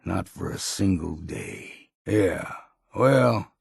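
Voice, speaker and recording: Game companion, grizzled old hard-drinking hunter, husky and weathered, unhurried, gruff folksy delivery, close dry sound. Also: male voice, low voice, lively intonation, dry recording, heavily acted. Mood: guarded warmth. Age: older. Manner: blunt, grumbling, slow folksy talk. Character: gruff, weary old hunter and drinker, curmudgeonly but loyal. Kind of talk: video game companion dialogue.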